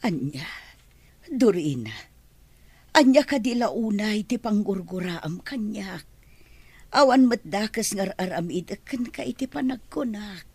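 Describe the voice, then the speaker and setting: Woman playing a husky, meek household helper. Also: medium pitch, animated, measured pace, pauses often, a little mellow, no echo. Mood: patient. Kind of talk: radio drama acting in Ilocano.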